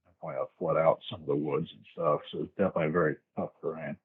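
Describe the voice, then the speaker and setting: An American man from the southern US, casually telling a first-hand story as an interview guest, descriptive and a little halting. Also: very deep voice, fairly even intonation, husky. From southern United States, grew up in Florida. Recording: tinny, band-limited remote call.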